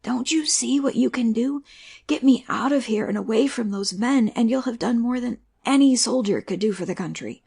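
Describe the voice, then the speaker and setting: Casting: fugitive soldier voiced by a woman, spent and desperate, husky with gravelly fry, earnest pleading, audio drama. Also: a little high, moderate pace, darkish tone. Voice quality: breathy, husky, often half-whispered. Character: exhausted, desperate hunted Northern soldier, male, pleading. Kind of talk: amateur audiobook play acting.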